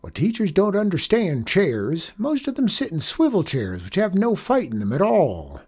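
Narrator as a male janitor, rodeo-cowboy enthusiasm, raised lively pitch, folksy, whooping, storybook character. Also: normal speed, breathy edge, exaggerated voice. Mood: coaching, folksy.

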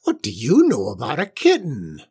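A woman voicing a bad-tempered, self-pitying old witch in a storybook, shrill and indignant. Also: wildly swooping pitch, normal speed, darkish tone, slightly rough, heavily acted. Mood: snorting, suspicious. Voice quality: shrill, shrieking. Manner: snorting, indignant outbursts.

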